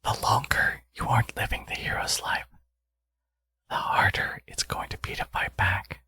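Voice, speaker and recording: A man playing a smug, flirtatious villain in an intimate ASMR audio roleplay, teasing and coaxing, with a gravelly, husky voice. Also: moderate pace, pushed and bright, lightly acted. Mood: whispered menace.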